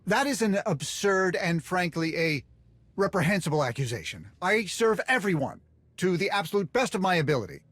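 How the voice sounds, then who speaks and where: Glib, shifty smuggler, lively and higher-pitched. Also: male voice, moderate pace, no echo, full character voice. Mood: indignant. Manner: glib, wheedling, stammers when cornered. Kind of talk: video game voice acting.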